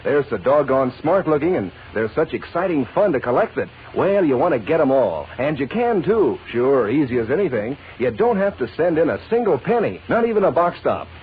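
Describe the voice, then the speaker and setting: A male announcer makes a folksy, enthusiastic vintage radio pitch. His voice is on the high side and bounces with intonation. The recording is thin and phone-like with faint noise. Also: big pitch swings, fairly quick, hardly any pauses. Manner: folksy, eager, selling. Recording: tinny, noisy old radio recording.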